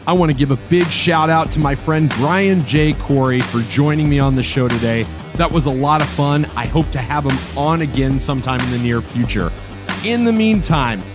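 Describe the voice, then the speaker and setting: A man hosting a podcast, hoarse from a cold, signing off with enthusiastic, slangy casual talk. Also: a little high. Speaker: podcast host. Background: music.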